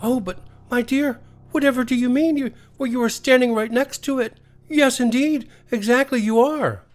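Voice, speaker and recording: A man voicing a small, excitable, sly creature in a storybook, fussy and exclamatory, repeating himself. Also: extremely high pitch, normal speed, voice pushed up. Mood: surprised, fussy. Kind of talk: storybook character voice.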